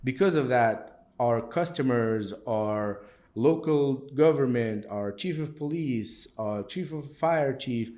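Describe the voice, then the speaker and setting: A man's voice: mid-range voice, takes it slowly. A man from Moldova who owns a coffee shop, talking casually in an interview and acting out the people he quotes. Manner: animated, acts out quoted people.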